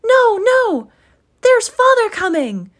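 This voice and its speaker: A woman voicing two excited small children who shout and squeal. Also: extremely high pitch, slow, pitched above the performer's own voice, exaggerated voice.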